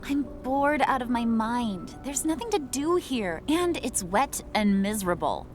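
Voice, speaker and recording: An actress voicing a sulky, doubting teenage daughter in a video game, complaining and blunt. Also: female voice, fairly high pitch, animated, measured pace, music in the background. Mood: bored, sulky. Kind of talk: video game cutscene acting.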